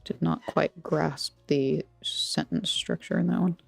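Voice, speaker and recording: A woman's voice: sits low, steady tone, measured pace, gravelly, breathy edge, dry recording. A woman from Texas with an American accent, chatting casually as a livestream host in a rambling, playful, self-deprecating way. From Texas, United States. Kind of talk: casual chat with viewers while crafting. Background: background music.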